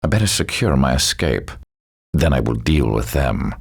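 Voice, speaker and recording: A man voicing a cold, arrogant superhuman villain for a video game, speaking with smug, deliberate menace and theatrical mockery. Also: very deep voice, sing-song, creaky, slightly rough, exaggerated voice. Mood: calm, calculating. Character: cold, arrogant male villain, superhuman schemer with sinister calm.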